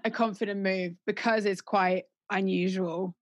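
A woman's voice: mid-range voice, fairly even intonation, measured pace. A woman chatting casually, teasing and enthusiastic. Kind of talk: casual chat.

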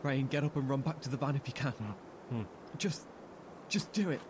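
A man's voice: medium pitch, normal speed, lightly acted. A man acting a gritty, protective, sweary apocalypse survivor in a naturalistic horror audio drama, with terse, wry delivery. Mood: panicked, defiant. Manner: naturalistic, terse, sweary, wryly self-deprecating. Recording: noisy in-world field recording.

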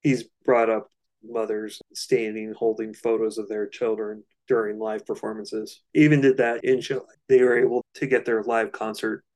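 A man talks as a podcast co-host in a somewhat low voice. His delivery is very even, with little melodic movement. He explains things informally, in loose conversational phrasing. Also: moderate pace, rough recording. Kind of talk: casual podcast discussion.

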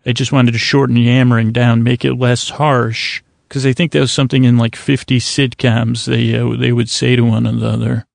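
A man with a gravelly voice full of vocal fry delivers a bedtime monologue as a podcast host. His talk wanders and stalls, doubling back on itself with absurd wordplay. The sound is dry, with no room echo. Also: mid-range voice, level tone. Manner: meandering, halting, absurd wordplay, self-interrupting. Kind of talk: rambling bedtime monologue to help listeners sleep.

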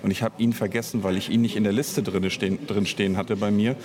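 A man's voice: on the low side, steady tone, fast talker, a crowd in the background. A man speaking as an interview guest in casual conversation, searching for words as he explains at length. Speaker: interview guest, audio drama author and director.

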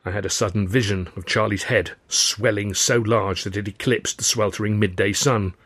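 Measured male audiobook storyteller, dry close sound. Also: on the low side, fairly even intonation, fairly bright tone, breathy edge, dry recording. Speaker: author reading own novel. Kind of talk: scripted audiobook narration, first person.

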